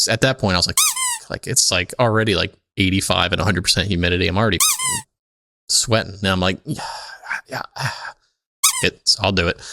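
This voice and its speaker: Low-voiced man in a dry recording. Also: fairly bright tone, dry recording. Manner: rambling, profane, peppered with "like". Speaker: podcast host. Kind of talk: casual chat, anecdotal storytelling.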